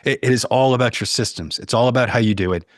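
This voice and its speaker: A man talking casually as a podcast guest, explaining how he works in a halting way with repeated phrases. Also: medium pitch, no room sound, studio quality. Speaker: podcast guest, audio producer and narrator. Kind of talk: casual interview talk.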